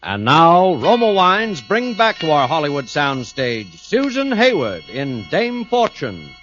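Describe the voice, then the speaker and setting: Male old-time radio program announcer: raised pitch, theatrical suspenseful delivery, lo-fi archive sound. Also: wildly swooping pitch, unhurried. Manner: theatrical, portentous. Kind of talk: dramatic 1940s radio introduction. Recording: dull vintage radio recording with music.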